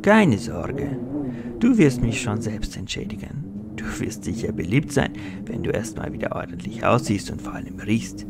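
A man acts out a menacing male kidnapper from a crime audio drama. His voice is creaky and gravelly, with lively swings of pitch as he mocks and threatens with condescension. Clear ambient noise sits behind him. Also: normal speed. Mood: cold, sneering. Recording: noisy ambient background.

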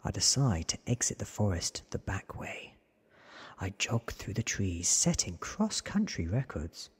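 A man in his thirties reading a story aloud in a hushed, deliberate ASMR whisper, close on the microphone. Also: lowish voice, very animated. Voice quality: breathy whisper. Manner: hushed, deliberate, short measured phrases. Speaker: narrator reading a novel aloud. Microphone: close studio microphone, seen.

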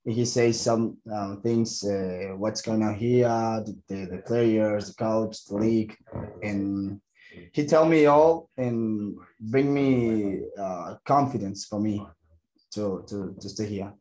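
Mexican man speaking halting English. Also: on the low side, dark tone, poor audio. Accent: Mexican Spanish. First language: Spanish. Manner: halting, searching for English words, many restarts. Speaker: football player. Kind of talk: press conference answers.

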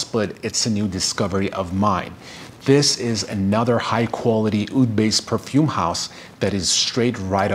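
Effusive low-voiced man reviewing fragrance. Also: slight background noise. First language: Farsi. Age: middle-aged. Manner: effusive, savoring descriptions. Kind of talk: enthusiastic product review to camera. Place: indoor room, seated.

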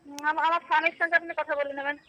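A woman's voice: sits high, little pitch movement, very fast, sharp tone, highs cut off. A woman, answering in a casual phone conversation. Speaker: person called on the phone.

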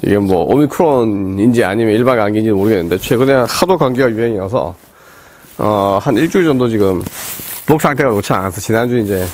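A man in his forties with a cold, hoarse and rambling as he reviews football boots in a small indoor room. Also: fairly low pitch, lively intonation, fairly quick, a little mellow. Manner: rambling, with many fillers and restarts. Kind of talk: casual product review talk.

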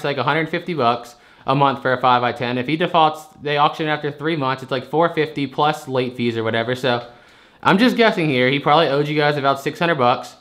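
A man's voice: medium pitch, fairly bright tone. A man, a storage unit buyer arguing his case, frustrated and rambling, repeating himself as he pushes his point. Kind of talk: heated phone argument.

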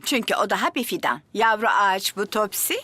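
An actress voicing a kind, gentle grandmother in a dubbed cartoon, speaking softly and reassuringly. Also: medium pitch, animated, a little fast, breathy edge, no echo. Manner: soft, reassuring, coaxing. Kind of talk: cartoon dubbing.

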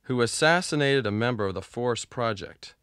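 A smooth formal henchman. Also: mid-range voice, expressive, normal speed, a bit bright, dry recording, studio quality. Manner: formal, reporting.

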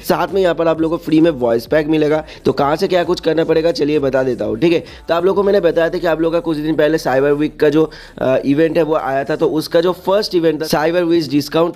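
A man's voice: fairly high pitch, warm tone. A man hosting a gaming video in a casual explainer style, repeating himself with frequent check-in tags and mixing in English words. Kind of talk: casual explainer narration over game screens.

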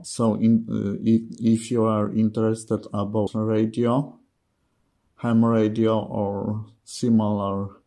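A man with a non-native English accent giving a halting, hesitant gadget demonstration, often breaking off words. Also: on the low side, dark tone. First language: not English. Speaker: presenter of radio gadget reviews. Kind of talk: product demonstration narration.

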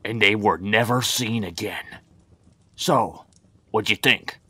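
A man's voice: on the low side, slow pace, very noisy. A man voicing a mischievous, gloating mad scientist villain in a fan cartoon, in a theatrical way. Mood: smug. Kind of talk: fan-film cartoon acting.

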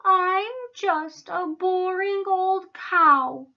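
Woman putting on a high, meek storybook cow. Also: very animated, slow pace, lo-fi sound, exaggerated voice. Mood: sad, downcast. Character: meek, friendly, self-doubting female cow.

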